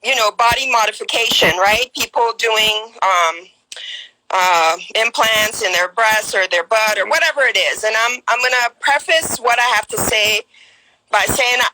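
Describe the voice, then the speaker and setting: Guyanese woman, forties, caller on a live stream; bright, slightly high voice, earnest and halting delivery. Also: a little hiss or hum, poor audio. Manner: earnest, hesitant, many ums and restarts. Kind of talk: casual personal testimony.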